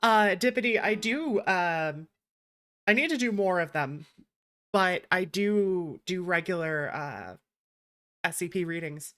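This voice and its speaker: A woman's voice: lowish voice, animated, slow, fairly bright tone. A woman hosting a gaming stream, chatting casually and reading text aloud.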